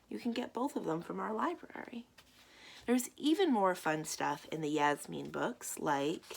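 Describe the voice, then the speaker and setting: Animated woman reading picture books aloud. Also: fairly low pitch, creaky, slight background noise. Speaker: librarian reading aloud to children. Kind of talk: children's picture book read-aloud and chat.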